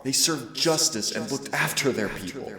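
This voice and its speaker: A man playing a proud, teasing demon of royal blood in an audio roleplay, with a gravelly, husky, intimate and theatrical delivery. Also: normal speed, bright, forceful tone, lightly acted. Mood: furious, grieving. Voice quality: gravelly, husky, breathy low voice. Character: teasing male demon roommate, winged, proud royal, protective and wounded. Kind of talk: audio roleplay acting addressed to the listener.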